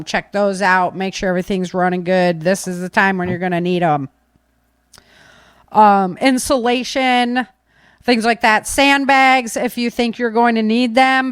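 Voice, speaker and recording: A woman's voice: medium pitch, fairly bright tone, clear, resonant tone, no echo, studio quality. A woman hosting a podcast, chatting casually and giving practical tips in an informal, folksy, rambling way. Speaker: podcast host.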